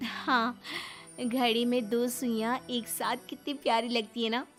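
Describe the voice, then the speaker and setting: A breezy young cartoon daughter-in-law , played by a woman, pitched a little high. She is sweet and teasing, with a stubborn streak under the playfulness. Music runs underneath her. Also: level tone, measured pace, fairly bright tone. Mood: breezy, placating. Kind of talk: cartoon voice acting in Hindi family story. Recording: music behind the voice.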